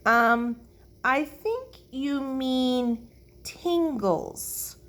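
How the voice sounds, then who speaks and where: A woman voices a little boy in a children's story. He sounds puzzled and raised in pitch, offering corrections with a hesitant, questioning air. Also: takes it slowly.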